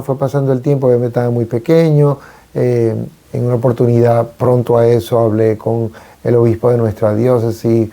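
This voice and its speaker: A Venezuelan-born priest in his forties talks through his story in a calm, halting way. His voice is very dark and gravelly. He pauses often and picks his words as he goes. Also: mid-range voice. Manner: unhurried and reflective, many hesitations. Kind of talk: casual conversation on a radio talk show.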